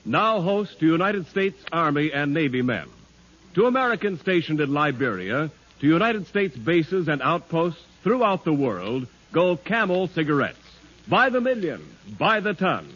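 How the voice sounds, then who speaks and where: A man's voice: on the high side, a bit bright. A male radio announcer reading a 1940s advertisement with an emphatic, polished salesman's delivery. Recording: dull vintage 1940s radio recording.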